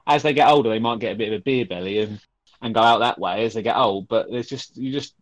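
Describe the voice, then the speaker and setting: A man joins a podcast as a guest over a video call, talking in a blunt, jokey way and often poking fun at himself. His voice sits at an ordinary pitch, and the sound is dry, with no room echo. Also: quick pace. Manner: blunt, jokey, self-mocking. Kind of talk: casual podcast chat.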